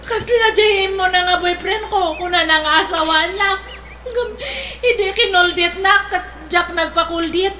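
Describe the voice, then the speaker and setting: A woman's voice: very high-pitched, unhurried, pushed and bright, heavily acted. A woman playing a giggly, weepy single girl. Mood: dramatic. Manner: laughing, teary, dramatic delivery. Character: emotional single young woman, dramatic and giggly. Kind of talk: radio drama acting. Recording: echoey room with clear noise.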